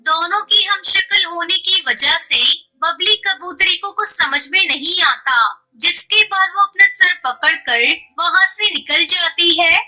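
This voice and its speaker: Very high, bright woman storyteller. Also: unhurried, low-quality recording. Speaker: narrator. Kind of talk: scripted children's story narration.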